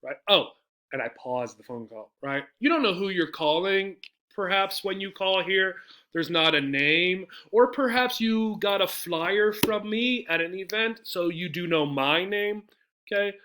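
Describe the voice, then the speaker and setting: A man's voice: high voice, unhurried, pushed and bright. A man speaking as an English teacher, explaining in a conversational way with frequent check-ins and acted-out example phrases. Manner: conversational, often checks in with "right?". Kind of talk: explanatory lesson with example phone messages.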